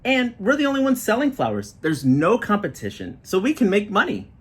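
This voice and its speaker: A man puts on an eager young storybook girl with big business plans. The voice is pitched high and swings about excitably, with a slightly husky edge. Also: brisk, heavily acted. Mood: confident. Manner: excitable, bright-eyed, exclaiming. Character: eager, enterprising young girl selling flowers, storybook narrator.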